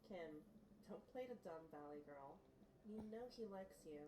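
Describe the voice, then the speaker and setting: A woman's voice: lowish voice, animated, measured pace, background noise. A woman playing a frank, teasing teenage best friend with a dry, probing manner in an audio drama.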